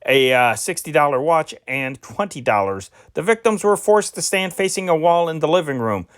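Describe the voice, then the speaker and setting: Higher-voiced man reading news. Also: dry recording, studio quality. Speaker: amateur reader of historic newspaper reports.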